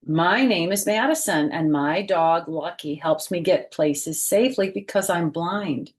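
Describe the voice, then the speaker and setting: A woman voicing a confident, friendly young girl from a storybook, speaking in simple, deliberate phrases. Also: on the low side, close to an everyday voice.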